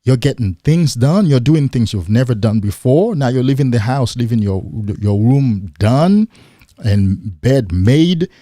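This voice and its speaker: A man hosting a motivational livestream, speaking emphatically with rhetorical questions and now and then mimicking excited men in a higher voice. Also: unhurried, no room sound, studio quality. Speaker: livestream host.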